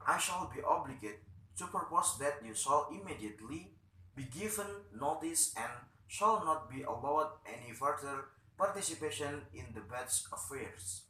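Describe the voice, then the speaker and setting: A man playing a stern, threatening, pompous town official in a play, formal, scolding and halting. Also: on the high side, animated, pushed and bright, echoey room, slight background noise, rough recording.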